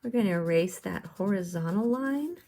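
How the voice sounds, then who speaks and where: A woman's voice: mid-range voice, animated, moderate pace, warm tone. A woman art teacher giving step-by-step drawing instructions in simple, encouraging language, often putting questions to the viewer.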